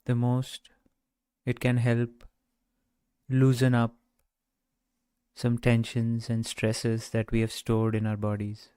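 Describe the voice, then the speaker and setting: A man guiding a meditation in a gentle, measured way, speaking off the cuff with long pauses. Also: medium pitch, completely flat delivery, clear, resonant tone, dry recording. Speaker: meditation guide. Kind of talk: guided meditation, unscripted talk.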